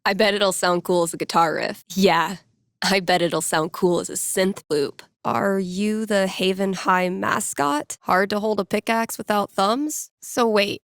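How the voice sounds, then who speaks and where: A woman's voice: mid-range voice, unhurried, a bit bright, no echo, studio quality. A woman voicing a wry, casual young American record store DJ in a video game, muttering to herself with self-amusement. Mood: upbeat, wry, then teasing. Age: young adult. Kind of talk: naturalistic video game voice acting.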